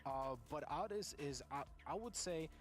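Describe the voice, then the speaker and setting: A man's voice: fairly high pitch, moderate pace, soft background music. A man giving eager esports match analysis on a broadcast, running his phrases together.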